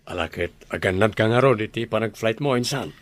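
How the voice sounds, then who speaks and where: A man's voice: on the low side, brisk, lightly acted. An actor with an Ilocano accent playing a boastful but devoted single father and elder brother in a radio drama. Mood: earnest.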